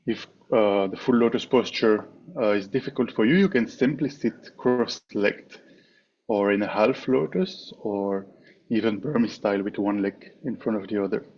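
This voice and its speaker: Low-voiced man guiding a meditation, dull noisy recording. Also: darkish tone. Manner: measured, with frequent pauses between short phrases. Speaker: meditation teacher. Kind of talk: guided meditation instruction. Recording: dull, muffled online call with clear background noise.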